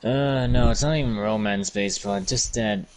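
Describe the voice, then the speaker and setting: A man's voice: on the low side, moderate pace. A man in casual chat with a friend, answering with short, dry counterpoints.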